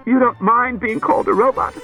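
A man voicing a frightened, tearful wife, breathy and quavering, pleading in halting bursts as in an audiobook. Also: extremely high pitch, steady tone, sharp tone, soft background music, voice pushed up. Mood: tremulous. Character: distraught tearful woman, frightened wife confessing.

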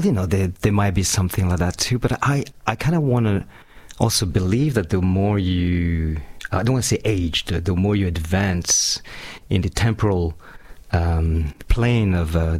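A man's voice: deep voice, expressive, normal speed, a little mellow. A middle-aged man from Madagascar whose first language is French, musing and searching for words as a radio guest. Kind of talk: casual interview chat.

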